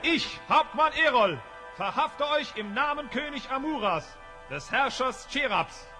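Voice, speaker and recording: A man's voice: extremely high pitch, lively intonation, measured pace, bright, forceful tone, faint music behind, heavily acted. An actor playing a stern, officious male guard captain, formal and commanding, in a fantasy audio drama.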